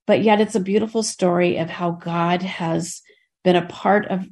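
A woman's voice: on the low side, vocal fry, a little mellow. A woman hosting a podcast, introducing her guest in an earnest way with some fillers. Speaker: podcast host.

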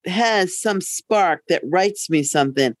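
A woman's voice: on the low side, expressive, slow pace, no room sound. An older woman co-hosting a podcast in casual conversation, candid and anecdotal with a dry joking streak. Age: older, over 50. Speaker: podcast co-host.

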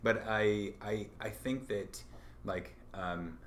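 A man working as a school principal, chatting casually as interviewer, lively and self-deprecating with lots of fillers. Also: lowish voice, slow, a little hiss or hum.

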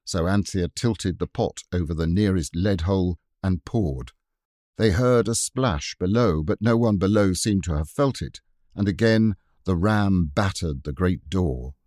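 Male audiobook narrator, lowish voice, lively, dry close recording. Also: no room sound. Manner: expressive, measured storytelling. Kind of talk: scripted narration.

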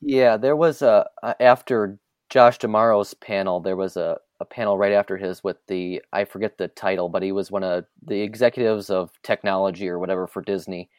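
Smooth-voiced man, hesitant podcast guest. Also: fairly low pitch, fairly even intonation, a little fast, dry recording, clean studio sound. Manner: hesitant, searching for words with many fillers. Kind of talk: casual podcast chat.

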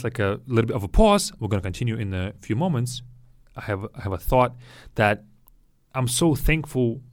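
A man who is a native Russian speaker, hosting a podcast for learners, speaking slowly and repeating words to explain them. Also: medium pitch, no echo, studio quality. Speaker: Russian teacher and podcast host. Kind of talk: slow teaching podcast, Russian with English glosses.

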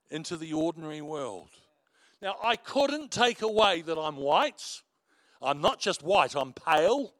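A middle-aged man preaches with a big voice, telling stories from the pulpit and poking fun at himself. His voice sits a touch high for a man. The sound is dry, with little room around it. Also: no echo. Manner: animated storytelling preacher, warm asides and self-mocking laughs. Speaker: pastor. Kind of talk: sermon.

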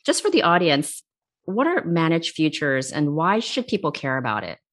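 A woman's voice: low-pitched, sing-song, moderate pace. A woman hosting a business interview series, upbeat and friendly, who laughs easily. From immigrant, came at age eight. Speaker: interview host.

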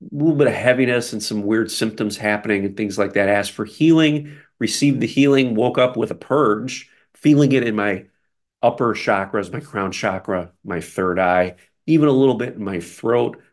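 A man giving an unscripted spiritual energy update as a coach, speaking in a soft, flowing, guiding way with short repeated list phrases. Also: lowish voice.

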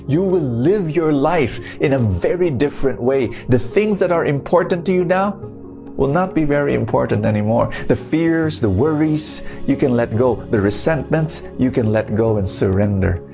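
A man preaching an earnest podcast sermon, often repeating phrases and speaking straight to the listener. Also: mid-range voice.

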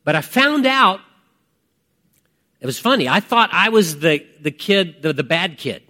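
A man in his fifties preaching a conversational sermon, reflective and emphatic, with deliberate pauses and repeated phrases. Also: sits high, lively intonation, sharp tone. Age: 50s. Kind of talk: conversational sermon with personal testimony.

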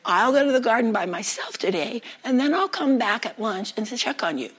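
Woman as storybook male cat: excitable, protective, plain-spoken and emphatic, with a husky edge. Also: a little high, a little fast. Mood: exasperated, caring.

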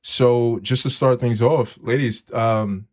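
A man hosting a podcast, relaxed as he prompts the guests with questions. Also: mid-range voice, slow. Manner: relaxed, steers the conversation with prompts. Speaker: podcast host. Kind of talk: casual chat, interviewing guests.

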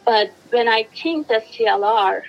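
A woman from Turkey with a Turkish accent, giving an earnest, steady interview answer with many fillers and restarts. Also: a little high, unhurried, muffled recording, faint music behind. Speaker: guest, education specialist.

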